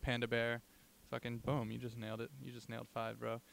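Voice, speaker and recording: A man's voice: mid-range voice, completely flat delivery, brisk, gravelly, a bit bright. A young man hosting a comedy podcast, chatting casually with crude, slangy banter. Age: young adult. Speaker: podcast host.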